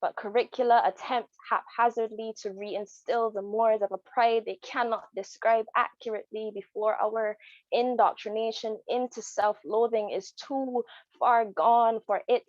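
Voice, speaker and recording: A woman's voice: a little high, steady tone, a little slow, no room sound. A young woman performs spoken word poetry with a rhythmic, emphatic delivery that returns again and again to a refrain. Her voice comes over a video call, dry and slightly muffled. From Barbados. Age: young adult.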